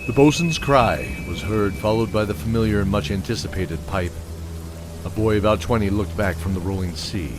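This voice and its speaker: Deep-voiced male narrator over music. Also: normal speed. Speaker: narrator and announcer. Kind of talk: scripted dramatic narration.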